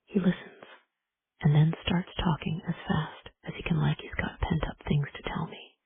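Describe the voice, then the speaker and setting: A woman reads a romance story in a hushed, intimate whisper that is breathy and husky with a gravelly edge. The recording is thin and tinny, like a phone line. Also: sits low, little pitch movement, normal speed. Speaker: audiobook narrator. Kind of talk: whispered first-person romance narration.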